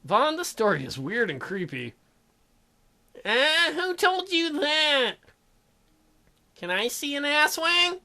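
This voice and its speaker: A man's voice: extremely high pitch, takes it slowly, pauses often. A man hosting a let's play, reading a dating sim's dialogue aloud and cracking crude jokes between the lines. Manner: animated, jokey, reads character lines in shifting voices. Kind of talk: reading a dating sim aloud with joking commentary.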